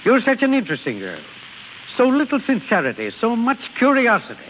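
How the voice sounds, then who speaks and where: A droll middle-aged father in an old radio play. He teases drily with very varied pitch in a fairly high voice. The recording is dull and reverberant. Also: huge pitch swings, brisk, slight background noise, heavily acted. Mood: sardonic. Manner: dry, teasing. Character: droll, sardonic father of grown daughters. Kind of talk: 1930s-40s radio drama acting.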